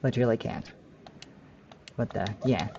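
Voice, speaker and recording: A man's voice: mid-range voice, normal speed, vocal fry, mellow, muffled recording, a little hiss or hum. A man in his late twenties chatting casually with viewers while streaming a game, rambling and correcting himself as he goes, with frequent laughs. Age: 20s.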